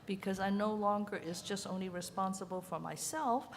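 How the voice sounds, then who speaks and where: A woman's voice: mid-range voice, moderate pace. An older woman from Hong Kong who grew up speaking Chinese talks candidly and chattily about her life and her art, laughing easily. Her voice carries a long reverb, and a faint hiss sits under it. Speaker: ceramic artist presenting at a panel. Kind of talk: conference talk. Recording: reverberant hall with faint noise.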